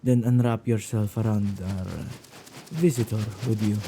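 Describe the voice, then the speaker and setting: A man playing a playful, possessive male witch in an ASMR roleplay, with a husky, dark voice and a teasing, theatrical, musing manner. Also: fairly low pitch, fairly even intonation, unhurried, noisy background, natural voice.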